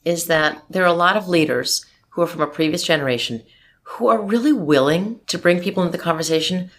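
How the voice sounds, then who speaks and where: Woman in her forties, deep voice, emphatic, outspoken, interview guest. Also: fairly quick, slight background noise. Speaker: marketing executive guest. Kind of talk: interview conversation.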